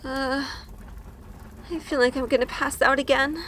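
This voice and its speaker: A woman's voice: sits high, fairly even intonation, measured pace, fairly bright tone, big-room sound, a little hiss or hum. A woman playing a timid, sheltered young woman in an audio drama, hesitant and anxious. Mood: nervous, shaken. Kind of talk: audio drama acting and first-person narration. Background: music.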